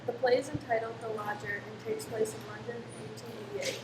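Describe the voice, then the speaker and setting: A woman's voice: on the high side, quick pace. A woman announcing a radio play in scripted, old-time radio narration style. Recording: echoey room, clear background noise.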